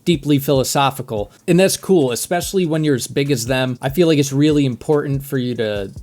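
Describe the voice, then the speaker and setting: A man's voice: mid-range voice, a little fast, darkish tone. A man who is a native English speaker, giving casual, reflective commentary as the host of a music reaction video.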